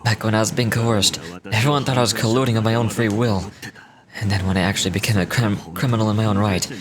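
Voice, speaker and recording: A man's voice: lowish voice, steady tone, quick pace, a crowd in the background, close to an everyday voice. A man voicing a bitter, self-justifying researcher in a video game, telling his story dramatically, like a scorned impostor. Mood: mocking. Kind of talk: scripted video game voice acting. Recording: music behind the voice.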